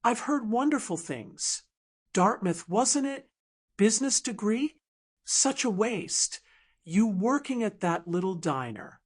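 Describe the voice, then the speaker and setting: Woman playing a polished, condescending businesswoman, lively pitch. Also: slow, exaggerated voice. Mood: gracious, condescending. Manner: smooth, sugary, faintly condescending. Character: polished manipulative businesswoman, smoothly condescending, falsely gracious. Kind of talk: audiobook drama dialogue.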